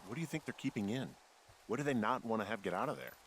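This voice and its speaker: A lowish voice with faint noise behind it: a laid-back, middle-aged American man working as a fire lookout. He speaks in a dry, understated, slightly hesitant way, as in a story-driven video game. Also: animated, quick pace, fairly bright tone. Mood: puzzled. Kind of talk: naturalistic video game dialogue.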